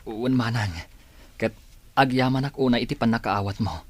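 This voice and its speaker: An actor with an Ilocano accent playing an earnest, hesitant young rebel in a radio drama, speaking in a husky, halting, deferential way. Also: male voice, medium pitch, steady tone, lightly acted. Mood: nervous. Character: earnest, hesitant man in a rebel group, in love.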